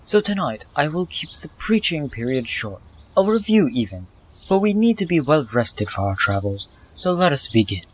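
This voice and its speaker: Devout pack preacher for audio drama, male actor, formal and measured, solemn lore-telling delivery. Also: on the high side, big pitch swings, background noise. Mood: solemn preaching. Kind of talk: scripted sermon in an audio drama.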